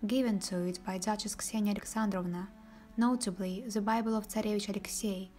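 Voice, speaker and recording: A woman narrates a documentary in a steady, measured reading style. Her voice sits at an ordinary middle pitch. Soft music plays faintly beneath her studio voice-over.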